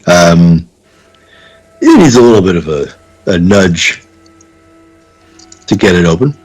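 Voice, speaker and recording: A man running a tabletop game, improvising narration in a halting, conversational way. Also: deep voice, expressive, a little mellow, slightly rough. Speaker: game master. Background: faint music.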